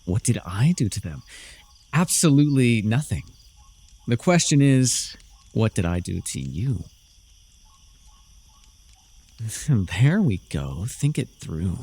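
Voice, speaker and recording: A man playing a smug, sardonic young dark sorcerer in a fantasy ASMR roleplay, theatrical and teasing, with dry, drawling mockery. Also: on the low side, very animated, a little slow, husky, full character voice. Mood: mocking, amused, then taunting, menacing.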